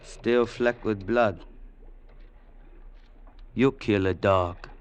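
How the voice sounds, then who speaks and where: An actor playing a proud, ruthless Chinese warlord general in an old radio drama, speaking in terse, formal, commanding phrases. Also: male voice, fairly low pitch, fairly even intonation, extremely slow, clean tone. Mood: cold, menacing. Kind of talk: 1940s-50s radio drama acting.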